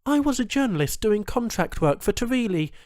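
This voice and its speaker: Man voicing a blunt, high-pitched captive woman. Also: big pitch swings, fairly quick, a little mellow, dry recording, studio quality. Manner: sardonic, slangy, blunt. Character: captive woman journalist, contemptuous, blunt, anxious. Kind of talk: audiobook character dialogue.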